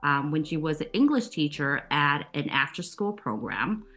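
A woman co-hosting a podcast, reading a scripted introduction of the guest in an even delivery. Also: sits low, normal speed, soft background music. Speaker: co-host.

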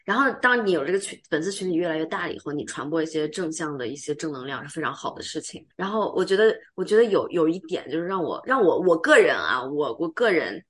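A woman co-hosting a podcast, chatting casually in an expressive, questioning way. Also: low voice, fast talker, few pauses. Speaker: podcast co-host. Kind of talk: casual chat.

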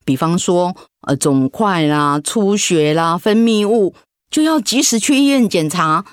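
An actress playing a gentle, hesitant middle-aged mother in a radio drama. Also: fairly low pitch, animated, a little slow, dry recording, clean studio sound. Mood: gentle, reassuring. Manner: gentle, hesitant, conversational with soft fillers.

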